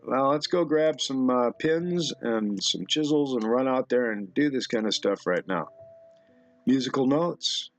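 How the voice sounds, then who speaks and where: A middle-aged man giving rambling off-the-cuff commentary in a halting, musing way, as if talking to himself at his computer. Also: medium pitch, animated, soft background music. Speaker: video host. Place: indoor room at a computer.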